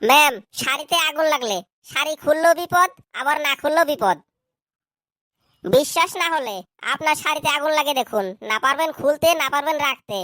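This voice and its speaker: Wisecracking cartoon schoolboy, high and dry voice, played by a woman. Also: a little fast, pushed and bright, dry recording. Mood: cheeky. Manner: cheeky, wisecracking. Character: cheeky schoolboy with glasses. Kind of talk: cartoon dub acting.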